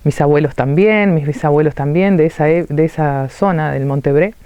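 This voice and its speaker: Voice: mid-range voice, moderate pace, mellow, smooth, no echo. A musician from Uruguay, raised in Switzerland and first speaking Italian, fondly recalling school days in Rioplatense Spanish during an interview. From Uruguay, raised in Ticino, Switzerland. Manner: reminiscing, fond, with small restarts. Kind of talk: personal recollection in an interview.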